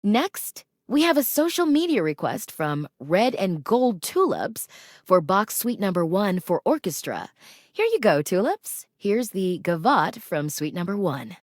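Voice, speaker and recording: An actress playing a genteel, cultured classical radio DJ in a video game, announcing in a measured, precise, formal way. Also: female voice, medium pitch, sing-song, dry recording, clean studio sound. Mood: poised, informative. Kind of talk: scripted public radio announcing.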